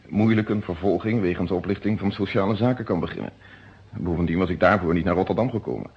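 Shrewd, low-voiced police inspector. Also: male voice, husky, full character voice. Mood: self-assured, wry. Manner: measured, casually probing, polite. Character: shrewd, self-assured police inspector, smoothly disarming. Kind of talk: radio play acting. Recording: dull, noisy old radio recording.

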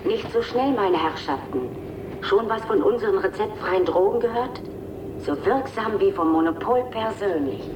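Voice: mid-range voice, moderate pace, background noise, lightly acted. An actor voicing a slick, pushy automated advertising machine in a radio drama, reeling off sales patter. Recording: dull lo-fi radio recording, loud music. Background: loud music.

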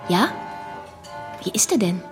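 A woman's voice: on the low side, very animated, unhurried, over music. An actress in a German radio play playing a curious, scandalised young female friend, questioning and incredulous.

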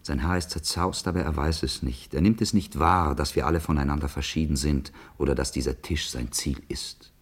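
Brooding, watchful man, very deep and husky, solemn literary radio monologue. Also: fairly quick, hardly any pauses. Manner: solemn, poetic declamation.

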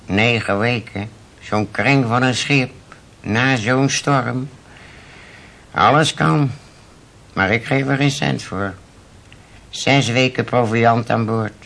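Curt, bright-voiced grumpy clerk. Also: male voice, mid-range voice, slow pace, creaky, long pauses. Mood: dismissive. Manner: blunt, short clipped answers. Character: curt, grumpy, dismissive male office clerk. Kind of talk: Dutch radio play acting.

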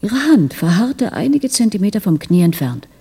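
A woman acting an earnest, devoted female doctor in a German radio drama, speaking softly and huskily in a measured, intimate way. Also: medium pitch, very animated, husky, full character voice. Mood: grave, measured.

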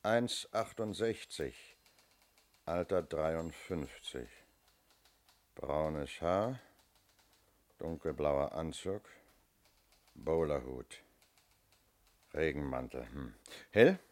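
A deep voiced police inspector, clipped probing questions, very varied intonation, old radio crime drama. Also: male voice, animated, very slow, pauses often, heavily acted. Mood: brisk, businesslike. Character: businesslike male police inspector questioning witnesses. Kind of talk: 1970s German radio crime play acting.

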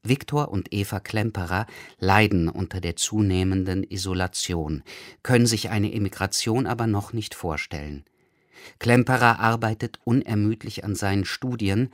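A man reading a scripted documentary introduction in a factual, measured way. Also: on the low side, dry recording, clean studio sound. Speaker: narrator.

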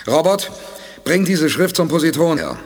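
An actor playing a calm, commanding male space commander in a 1980s radio drama. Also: fairly high pitch, moderate pace, breathy edge, full character voice.

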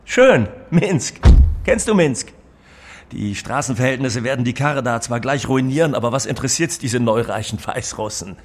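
An actor playing a shady, chatty used-car dealer in a radio play, with breezy, self-absorbed patter. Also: male voice, mid-range voice, lively intonation, normal speed, lightly acted. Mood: breezy, boastful. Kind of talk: German radio play acting.

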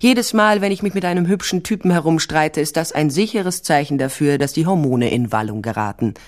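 A woman's voice: low voice, expressive, fairly quick. A woman playing a tall, sardonic private detective in a crime radio drama, speaking and narrating in a dry, self-possessed way. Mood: wry, confiding. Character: tall sardonic female private detective, former police officer. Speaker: lead actor and first-person narrator.

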